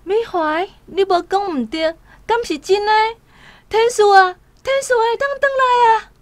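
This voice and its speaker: A woman's voice: very high-pitched, measured pace, dry recording. A woman playing an emotional, devout mother in a radio drama, with a Taiwanese accent. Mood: overjoyed disbelief.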